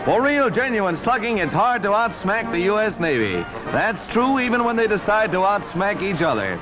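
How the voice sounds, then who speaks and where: A man's voice: high voice, very animated, normal speed, loud music behind the voice. An American man reading scripted 1940s newsreel narration in a declamatory announcer style. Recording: dull 1940s newsreel soundtrack.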